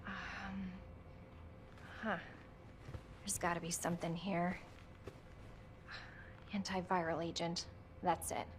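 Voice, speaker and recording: A woman's voice: lowish voice, flat delivery, slow pace, pauses often, a little hiss or hum. An actress playing a plucky, protective young woman in a video game, casual and reassuring. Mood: focused, hushed. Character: plucky, protective young woman survivor, warm toward child. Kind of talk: video game cutscene acting. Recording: music behind the voice.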